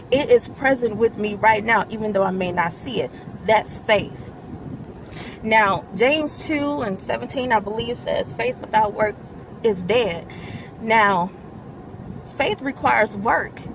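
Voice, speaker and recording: A woman who oversees a church's media ministry gives a midweek devotional. She stresses key words, gets louder as she explains scripture, and laughs lightly between remarks. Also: on the high side, noisy background.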